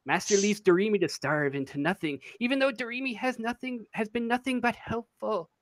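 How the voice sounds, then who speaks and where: A man voicing a small, whimpering, starved book creature with a squeaky, whiny voice in a tabletop game. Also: brisk, no room sound, close to an everyday voice. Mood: whiny, solemn. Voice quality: squeaky, high-pitched. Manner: whimpering, speaks of itself in third person.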